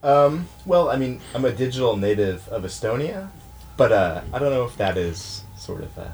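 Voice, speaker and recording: A man's voice: medium pitch, very animated, moderate pace, a little mellow. A man speaking hesitantly with many fillers, evasive in a comedy bit.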